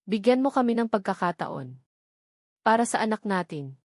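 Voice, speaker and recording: A woman's voice: medium pitch, big pitch swings, moderate pace, no echo, clean studio sound, natural voice. A woman voicing a pleading, frustrated young man in love, earnest and insistent, in an audiobook romance drama.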